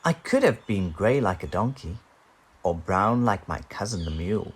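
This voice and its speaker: A man's voice: lowish voice, animated, moderate pace, slightly rough. A man voicing a proud, dandyish, self-satisfied zebra from a children's storybook, suave and pleased with himself. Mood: smug.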